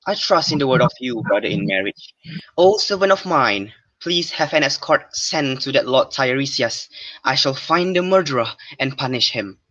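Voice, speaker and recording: A young man playing a proud, suspicious, quick-tempered king of ancient Greece in an amateur radio drama. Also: medium pitch, expressive, pushed and bright, no echo. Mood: commanding. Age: young adult. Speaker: student actor. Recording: dull lo-fi recording.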